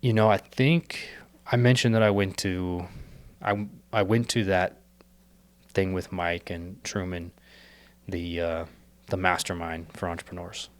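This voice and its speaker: A man's voice: deep voice, steady tone, unhurried. A young man, a podcast guest, talking earnestly and rambling with many fillers and restarts, his voice a little worn as he is losing it. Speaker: podcast guest, startup co-founder. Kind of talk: casual reflective interview talk.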